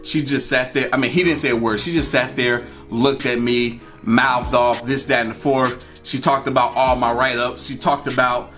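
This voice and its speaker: A man's voice: mid-range voice, moderate pace, few pauses, soft background music. An American man telling a personal story in a casual, conversational way, acting out bits of dialogue and repeating phrases for emphasis. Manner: animated retelling, acting out dialogue. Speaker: storyteller and vlog host.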